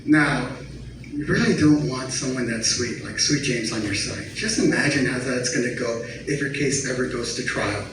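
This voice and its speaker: A man's voice: mid-range voice, normal speed. A man performing an amateur stand-up comedy set in a conversational, sarcastic, teasing way. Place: comedy bar stage. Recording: very echoey noisy venue, distant mic.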